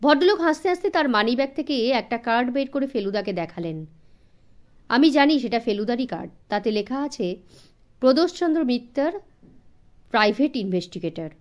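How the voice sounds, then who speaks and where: A woman's voice: a little high, expressive, fairly quick. A woman narrating a story expressively for children as an audiobook reader.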